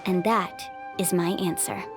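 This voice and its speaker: A woman's voice: fairly low pitch, steady tone, measured pace, over music, close to an everyday voice. A woman playing a friendly, confident young tour guide in a video game, easygoing, earnest and encouraging.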